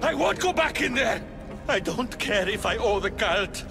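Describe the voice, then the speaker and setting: An actor playing a ruthless, cornered crime boss in hiding, ranting and menacing in a video game cutscene. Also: male voice, high-pitched, expressive, vocal fry, exaggerated voice. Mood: frantic, furious. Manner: ranting, menacing, slow delivery. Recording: music under the voice.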